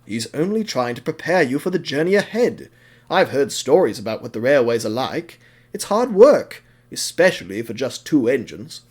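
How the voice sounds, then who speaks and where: A man voicing a shy, reserved and cautious steam engine in an audio drama, sober and measured. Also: medium pitch, big pitch swings, darkish tone, breathy edge. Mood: worried, cautioning. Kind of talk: audio drama character acting.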